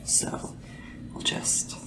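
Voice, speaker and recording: A woman playing a humble, deferential royal sleep assistant in a whispered, soft-spoken ASMR roleplay, hesitant and polite. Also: extremely slow. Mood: soothing, tentative. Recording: noisy lo-fi home recording, slightly distant mic.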